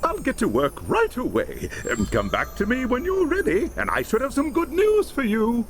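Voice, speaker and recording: A man voicing an eager, nervous male scholar in a video game, excitable and hesitant, laughing between words. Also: very high voice, normal speed, slight background noise, heavily acted. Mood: excited. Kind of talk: video game cutscene voice acting.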